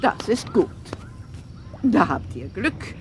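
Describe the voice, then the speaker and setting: Man voicing a high-pitched, grave old herd-leader dinosaur matriarch. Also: sing-song, slow pace, slight background noise, heavily acted. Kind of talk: children's cartoon audio drama.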